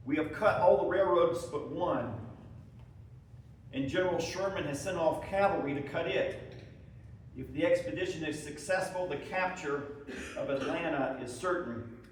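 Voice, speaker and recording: A man giving a dramatic reading as a proud, weary Civil War soldier, in measured short phrases, writing letters home. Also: a little high, slight background noise, close to an everyday voice. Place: echoey room at a live presentation. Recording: echoey hall, far from the microphone.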